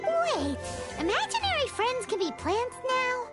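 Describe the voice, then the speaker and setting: A woman voicing a tiny, childlike, excitable and nosy floating companion in a video game, squeaky and full of exclamations. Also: unhurried, over loud music, heavily acted. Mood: eager. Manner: refers to self in third person, exclamatory.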